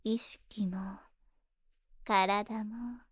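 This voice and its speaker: A woman's voice: medium pitch, steady tone, extremely slow, breathy edge, no room sound, clean studio sound. A woman playing a seductive, possessive spider monster in a Japanese ASMR voice drama, tender and coaxing, lingering on her words. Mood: soothing, hypnotic. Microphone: close ASMR microphone in a dry studio.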